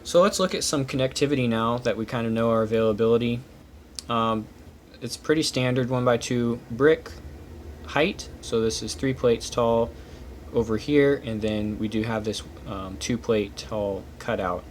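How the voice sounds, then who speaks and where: A man's voice: medium pitch, normal speed. A man hosting a casual hands-on walkthrough, explaining small details methodically. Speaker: host reviewing building-brick pieces. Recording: clear steady background noise.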